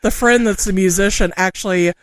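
A woman from Southwest Missouri with a local accent, co-hosting a podcast, chatting casually, laughing often and rushing through lists of names. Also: medium pitch, no echo. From Southwest Missouri, USA. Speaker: podcast co-host. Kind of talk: casual chat and reading listener names.

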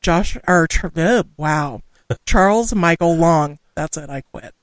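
A woman from Southwest Missouri with a local accent, co-hosting a podcast, chatting casually, laughing often and rushing through lists of names. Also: lowish voice, big pitch swings, fairly bright tone, no echo. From Southwest Missouri, USA. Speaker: podcast co-host. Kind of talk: casual chat and reading listener names.

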